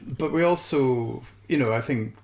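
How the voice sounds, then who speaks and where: A man co-hosting a podcast, casually discussing a television series in a measured way and qualifying his points. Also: mid-range voice, darkish tone. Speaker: podcast co-host.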